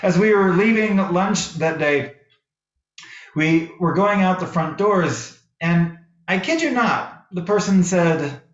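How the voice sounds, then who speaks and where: A middle-aged American man giving a sermon as a minister, telling personal stories deliberately, phrase by phrase. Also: high voice, animated. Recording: roomy, echoey, slightly dull sound.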